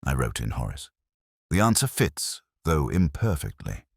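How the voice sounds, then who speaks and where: A man narrating a detective mystery in the first person, in a measured, literary and wry manner. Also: rumbling low, big pitch swings, gravelly, slightly rough, no room sound, studio quality.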